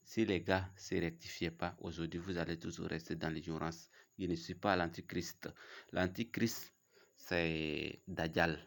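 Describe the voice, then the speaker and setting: Low-voiced man preaching with a cold. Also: steady tone, gravelly. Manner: challenging, repetitive, halting. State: has a cold. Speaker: religious video host. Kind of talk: casual religious preaching to camera.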